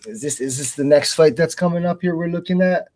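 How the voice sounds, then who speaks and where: A man's voice: on the high side, fairly quick, a little mellow, no room sound. A man from the United States co-hosting a live sports show with informal, slangy, conversational talk. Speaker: radio co-host. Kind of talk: casual live sports chat.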